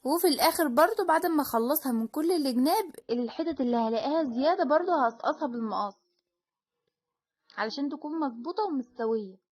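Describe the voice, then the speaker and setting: A woman with an Egyptian Arabic accent hosts a do-it-yourself crafts video, explaining each step in a chatty, informal way. Her voice sits high, and she talks quickly, often breaking off and starting a phrase again. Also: animated, darkish tone. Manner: chatty and explanatory, with frequent restarts and fillers. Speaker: DIY crafts channel host. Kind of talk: casual voice-over crafting tutorial.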